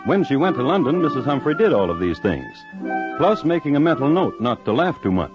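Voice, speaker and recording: A man narrating an old radio crime drama in a scripted, deadpan, droll manner. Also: medium pitch, fairly quick, lightly acted. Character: droll storyteller of historic crimes. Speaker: host narrator. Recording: dull old radio recording, music behind.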